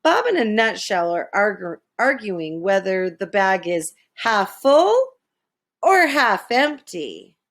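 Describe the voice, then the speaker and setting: A woman reads a children's storybook aloud at a leisurely pace. Her voice rises and falls in a lively, dramatic way, like someone performing each line for young listeners. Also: medium pitch, slow pace. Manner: animated, dramatic, with hushed asides. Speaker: storybook reader.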